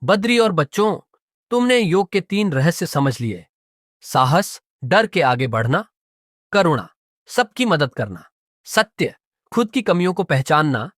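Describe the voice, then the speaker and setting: A man's voice: fairly high pitch, sing-song, unhurried, a bit bright, no echo, studio quality, voice pushed up, exaggerated voice. A man voicing a solemn mystical spirit in a children's story, making grave, instructive pronouncements. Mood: approving. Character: solemn mystical voice of cave, mirror and lotus. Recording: clearly noisy background.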